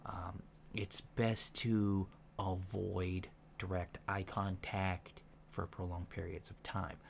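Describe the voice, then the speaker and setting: A soft-spoken man in an ASMR roleplay, playing a calm alien instructor with a halting, deliberate, matter-of-fact delivery, in a lo-fi recording. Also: sits low, vocal fry. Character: calm alien instructor briefing disguised recruits on humans. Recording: lo-fi recording with faint background noise.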